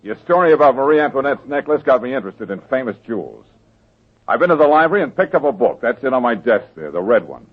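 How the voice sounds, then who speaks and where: A man playing a calm, dry-witted male private detective in a 1940s radio drama, on a tinny old broadcast recording. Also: medium pitch, quick pace, husky. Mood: steady.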